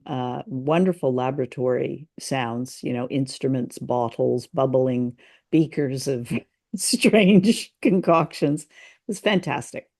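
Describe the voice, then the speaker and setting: A woman's voice: extremely low pitch, slow, darkish tone. A woman co-hosting a podcast, chatting casually in a hesitant, appreciative way. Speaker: podcast co-host. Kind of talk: casual chat about old radio drama.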